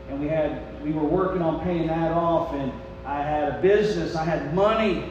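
An American man in his forties preaching a personal testimony, folksy and dramatic, telling stories and acting out remembered dialogue. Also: high-pitched. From United States. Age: 40s. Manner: folksy, dramatic, acts out remembered dialogue, swings from quiet confiding to shouting. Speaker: preacher and missionary. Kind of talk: sermon testimony, storytelling to a congregation. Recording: echoey hall, distant, faint hum.

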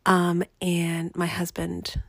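A young woman podcast host chatting casually and ramblingly, her voice raspy and congested as she recovers from illness. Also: fairly low pitch, completely flat delivery, takes it slowly, no echo, clean studio sound. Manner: rambling, conversational. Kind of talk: casual personal podcast chat.

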